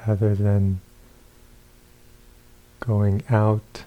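A man leads a guided meditation in a deeply mellow, relaxed voice that sits a little low. He speaks very slowly and calmly, offering short phrases with long pauses between them, as a teacher gently giving instructions. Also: rough recording.

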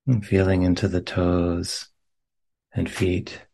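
Very slow, deep-voiced man guiding meditation. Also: flat delivery, gravelly. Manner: gentle, unhurried, with long pauses between short phrases. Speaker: meditation teacher. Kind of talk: guided meditation. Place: meditation center.

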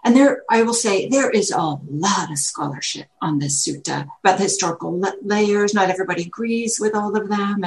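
Dharma teacher: woman with a slightly low voice, warmly enthusiastic and scholarly, online class. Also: vocal fry, a little hiss or hum, poor audio. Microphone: video call.

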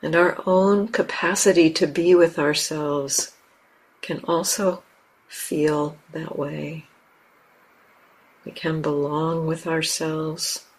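A woman teaching guided meditation, speaking gently and unhurriedly in short phrases with long pauses. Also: deep voice, steady tone, breathy edge, poor audio. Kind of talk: guided meditation, unscripted instruction.

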